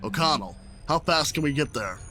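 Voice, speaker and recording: Man acting forceful, animated spaceship captain. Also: on the high side, normal speed, soft background music. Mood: commanding. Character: brusque, demanding male spaceship captain. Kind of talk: sci-fi audio drama acting.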